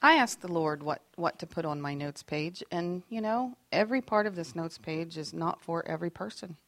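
A lively woman teaching a faith workshop, her voice sitting a little low for a woman. She talks in a chatty, self-deprecating way and acts out the lines she quotes. The sound is dry and close, with little room echo. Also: moderate pace. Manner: animated and expressive, acting out quoted lines.

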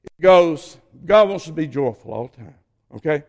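An older man preaching a sermon, his voice hoarse, building to shouted emphasis. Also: a little high, animated, moderate pace, long reverb tail.

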